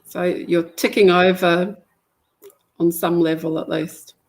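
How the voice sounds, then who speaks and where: A woman hosting a casual interview over a video call, friendly and quick to laugh along. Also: fairly low pitch, flat delivery. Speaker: interviewer, host.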